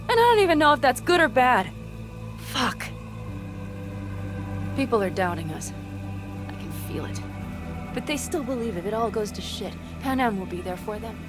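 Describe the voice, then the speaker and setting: An actress playing a fierce but warm-hearted young nomad clan leader in a video game, speaking bluntly and with emphasis. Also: female voice, mid-range voice, expressive, normal speed, pauses often, music in the background, natural voice. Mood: tense, anxious. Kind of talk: video game cutscene dialogue.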